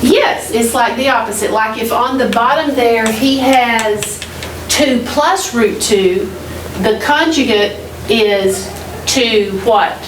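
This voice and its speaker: A woman's voice: fairly high pitch, slow pace, distant mic. A middle-aged woman teaching math in a classroom, questioning students and repeating key points in a directive way. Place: classroom, heard from across the room. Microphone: distant camera microphone. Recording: echoey classroom from afar, noisy.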